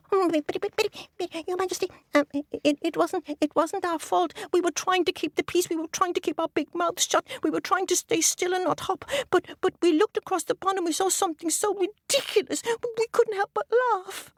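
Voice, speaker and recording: A woman's voice: steady tone, normal speed, no echo, clean studio sound. A woman voicing a group of nervous little frogs, stammering and pleading. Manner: stammering, high and breathless.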